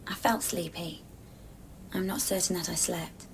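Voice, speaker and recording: Soft, halting, wistful woman. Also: fairly low pitch, vocal fry, a little hiss or hum. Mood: dreamy, wondering. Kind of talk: intimate radio drama acting.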